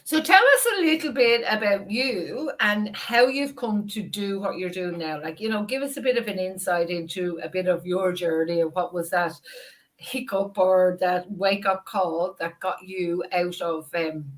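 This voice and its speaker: A woman's voice: fairly low pitch, normal speed. An Irish woman hosting a business interview show, upbeat and effusive as she introduces her guest over a video call. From Ireland. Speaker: host.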